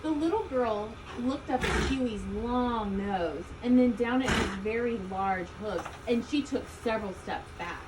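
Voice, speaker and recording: High-voiced woman reading a children's storybook. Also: measured pace, few pauses. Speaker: storybook reader. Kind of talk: children's storybook read-aloud. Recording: distant mic, loud background ambient noise.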